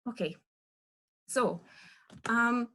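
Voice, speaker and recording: A woman in her thirties from Russia with a Russian accent, giving an online lecture over a video call, pausing often with fillers and soft laughs as she searches for words. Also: fairly high pitch. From Russia (Western Siberia).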